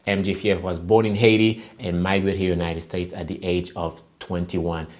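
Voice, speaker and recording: A man's voice: low voice, little pitch movement, moderate pace, darkish tone. A man hosting a broadcast interview, introducing and questioning a guest. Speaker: TV interview host.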